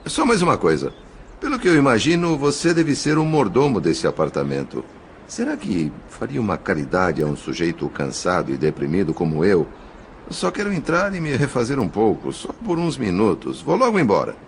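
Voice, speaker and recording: A Brazilian man playing a composed spirit narrator in an audio novel, speaking in a formal, articulate, measured way. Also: lowish voice, wildly swooping pitch, slight background noise. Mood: polite, pleading. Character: composed male spirit narrator recounting his undercover mission. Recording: music behind the voice. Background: music.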